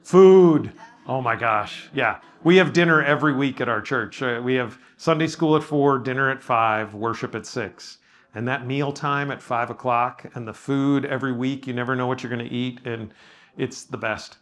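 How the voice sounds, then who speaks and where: Man, pastor, running a workshop discussion, relaxed and modest, lots of ums and restarts, mid pitch. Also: normal speed. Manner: casual, self-effacing, with frequent ums and restarts. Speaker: pastor leading a workshop session. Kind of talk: leading a group discussion. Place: room with a live audience.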